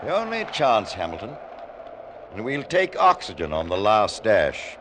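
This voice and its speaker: Resolute mountain climber, clipped commands, lively pitch, vintage radio drama, clear background noise. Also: male voice, a little slow, full character voice. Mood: determined. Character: resolute male British expedition climber planning a summit attack. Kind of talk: 1950s radio drama acting. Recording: noisy old radio recording.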